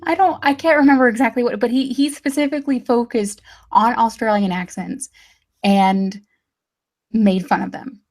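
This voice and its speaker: A woman's voice: a little high, normal speed, darkish tone. A young woman from Texas talks casually as a guest. She hesitates and restarts often, and her humor is dry and sarcastic. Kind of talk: casual chat.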